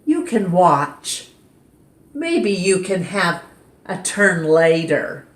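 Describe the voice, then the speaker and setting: A woman reading a picture book aloud at storytime in a gentle, expressive way. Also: on the low side, drawn-out words. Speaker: storytime reader. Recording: roomy echo.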